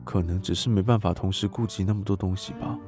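A man's voice: low-pitched, steady tone, fast, over music, natural voice. An actor playing a young male tutor who narrates his diary of spying on a family, with a judgmental tone. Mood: reflective. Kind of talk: radio drama acting.

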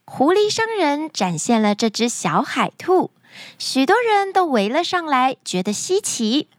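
A woman tells stories for children with dramatic expression. Her voice is high and swings widely in pitch. The recording is dry and close. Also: big pitch swings, a little slow, no echo. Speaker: children's story podcast host. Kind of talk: animated scripted storytelling for children.

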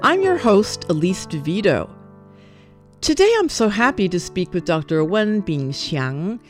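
A woman hosting an interview show, who speaks in a friendly way and often gives quick "oh" and "mm-hmm" responses. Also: fairly low pitch, big pitch swings, soft background music. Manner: friendly, quick acknowledgments, small laughs. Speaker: radio show host.

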